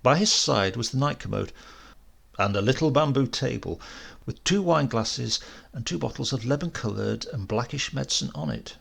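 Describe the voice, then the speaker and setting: A man with an English accent reading a literary story aloud in an expressive, measured way. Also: mid-range voice, creaky, husky. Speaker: audiobook reader.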